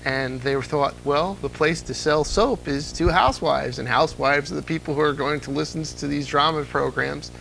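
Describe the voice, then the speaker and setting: Man, creaky mid-pitched voice, interview guest, casual animated explanations, faint noise. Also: normal speed, few pauses, rough recording. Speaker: interview guest, old-time radio fan.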